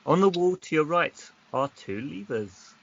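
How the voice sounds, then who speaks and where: A man acting as game master, reading gamebook narration aloud in an unhurried way and mixing it with casual chat. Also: on the high side, expressive, gravelly, a little hiss or hum. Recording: dull lo-fi recording.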